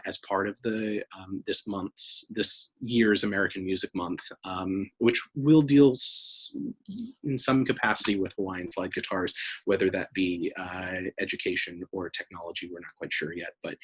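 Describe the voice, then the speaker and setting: A man giving an informal, halting and thoughtful explanation of archive items as an interviewed staff member. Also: fairly low pitch, a bit bright, slightly rough, rough recording.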